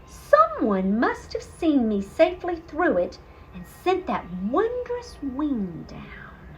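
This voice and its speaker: A woman voicing a gentle, wondering young female cat in an earnest storybook reading. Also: high-pitched, wildly swooping pitch, slow pace, a little hiss or hum, voice pushed up, full character voice, poor audio.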